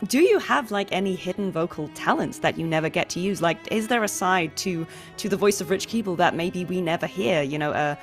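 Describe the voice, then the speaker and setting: Woman, lowish voice, chatty, giggly, interview host, faint music behind. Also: animated. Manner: chatty and giggly, quick agreeing. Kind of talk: casual interview chat.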